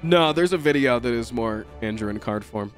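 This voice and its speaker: A man's voice: medium pitch, moderate pace, soft background music. A young man with a nasal voice, swearing and joking through live gameplay commentary on stream from a room at home. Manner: profane, excitable, jokey.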